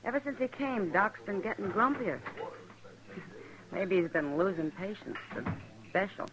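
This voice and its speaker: A woman's voice: mid-range voice, unhurried, background noise, lightly acted. An actress playing a wry, confiding frontier townswoman in a 1950s radio western, with a knowing manner. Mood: wry, concerned.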